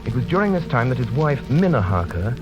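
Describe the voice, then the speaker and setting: An actor playing a haunted gentleman doctor, narrating in a formal, dramatic, deliberate 1930s radio drama style. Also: medium pitch, very animated, music in the background, natural voice. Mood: formal, sober. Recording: dull old radio broadcast.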